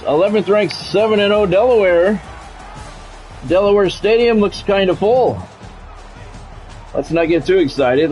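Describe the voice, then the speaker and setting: A man's voice: sits high, darkish tone. A man streaming a sports video game, mixing casual chat to viewers with play-by-play commentary and repeating himself as he goes.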